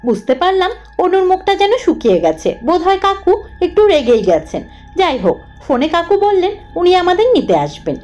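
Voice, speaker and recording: A woman narrating a scripted horror cartoon story in the first person, dramatic and expressive. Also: very high voice, normal speed, muffled recording, faint music behind.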